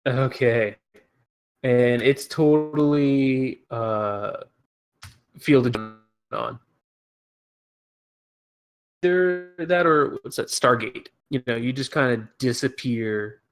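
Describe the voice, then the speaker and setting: A man narrating as game master of a tabletop game, relaxed and halting, with frequent fillers. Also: mid-range voice, clean tone, low-quality recording. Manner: relaxed, hesitant with many fillers. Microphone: headset or desk mic on a voice call.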